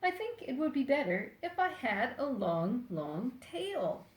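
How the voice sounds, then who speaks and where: An older woman reading a children's book aloud expressively and deliberately, playfully speaking straight to young listeners. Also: high voice, sing-song, reverberant. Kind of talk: expressive picture-book read-aloud with asides.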